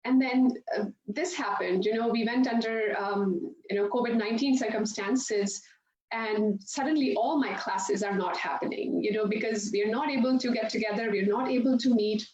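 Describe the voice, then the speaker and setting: A woman's voice: fairly high pitch, normal speed, darkish tone. A woman, a yoga instructor chatting as an interview guest and laughing often as she tells stories. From India. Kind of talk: casual interview storytelling. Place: her home yoga studio. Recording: roomy, dull video-call audio with faint noise.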